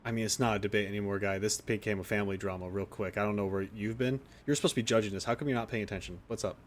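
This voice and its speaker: A man's voice: lowish voice, steady tone, rapid-fire, slight background noise. A man giving casual, wry, joking commentary as he plays a game. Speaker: gaming video host.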